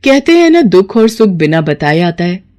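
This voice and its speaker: A woman's voice: fairly low pitch, big pitch swings, normal speed, warm tone. A woman reading scripted narration for a moral story.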